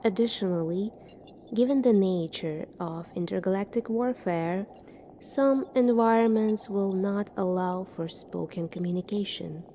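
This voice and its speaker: A woman in a soft-spoken ASMR roleplay, playing a calm, formal military doctor in a measured, clinical manner. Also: medium pitch, a little hiss or hum.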